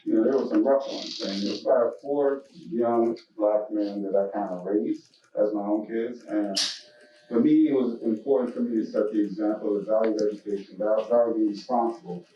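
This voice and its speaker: A man in his fifties, a college vice president, speaking in a relaxed, reflective way in a panel discussion. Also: on the low side, moderate pace, slight background noise. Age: 50s. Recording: very echoey room, dull sound, mic at a distance.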